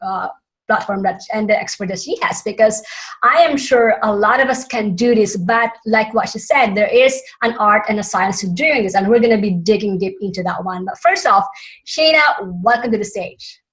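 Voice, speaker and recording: A woman's voice: medium pitch, moderate pace, a bit bright, low-quality recording. A woman from the Philippines, English not her first language, hosting an interview and often restarting her sentences to find the right word. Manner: searching for words, frequent restarts. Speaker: interview host. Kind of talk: online summit interview.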